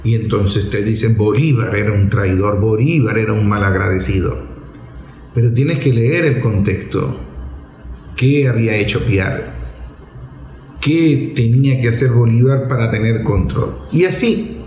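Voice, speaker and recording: A man's voice: fairly low pitch, unhurried, darkish tone. Man explaining in an echoey room. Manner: emphatic and rhetorical, with posed questions and repeated phrasing. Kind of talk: reflective, didactic spoken commentary.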